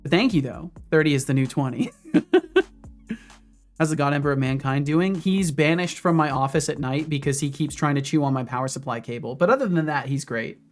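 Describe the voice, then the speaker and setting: A man's voice: on the high side, a little fast. A man in his thirties casually chatting with his stream viewers while he codes, with faint music behind him. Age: 30s. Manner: relaxed, talks to chat between coding asides. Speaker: streamer and game developer. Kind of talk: casual livestream chat while coding. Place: home office.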